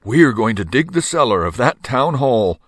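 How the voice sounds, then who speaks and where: A man's voice: mid-range voice, big pitch swings, normal speed, no echo, studio quality, pitched above the performer's own voice. Man as a confident, proud steam shovel operator. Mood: confident, eager.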